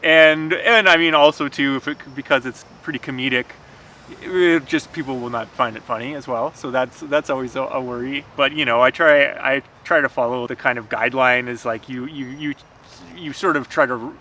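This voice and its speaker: A man chatting casually as a writer guest, in a halting way with repeated false starts. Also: medium pitch, pushed and bright. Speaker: screenwriter guest. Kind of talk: casual chat. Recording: clearly noisy outdoor urban ambience.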